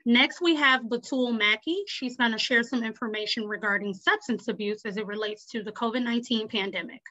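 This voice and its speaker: Upbeat woman hosting a zoom event, high bright voice, bit muffled. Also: brisk. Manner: polite and upbeat. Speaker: event moderator. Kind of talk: moderating an online summit. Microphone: video call. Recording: slightly muffled video call audio.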